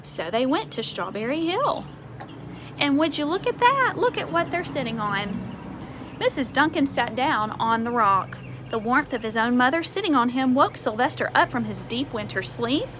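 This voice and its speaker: A woman with a fairly high voice reads a children's story expressively. She breaks off for conversational asides to explain things to young listeners. Faint outdoor sound sits behind her, as on a porch. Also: animated, moderate pace. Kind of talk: expressive picture-book read-aloud with asides to children. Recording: faint outdoor ambient noise.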